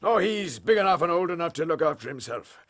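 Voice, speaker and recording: Gravelly, high-voiced old miserly English lord. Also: male voice, expressive, fast, fairly bright tone. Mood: indignant, blustering. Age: older. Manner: indignant, pompous, haughty. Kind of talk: period detective radio drama acting.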